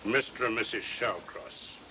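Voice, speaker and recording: An actor playing a dogged, sceptical police detective in a 1940s film, blunt, questioning and suspicious. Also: male voice, mid-range voice, measured pace, close to an everyday voice. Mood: businesslike. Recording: noisy old 1940s film soundtrack.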